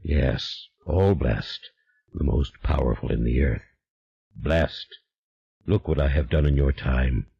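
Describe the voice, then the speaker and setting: A man voicing an invisible ancient male demon, speaking slowly in a hushed, ominous way. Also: rumbling low, vocal fry, husky, lo-fi sound, voice pushed down, exaggerated voice.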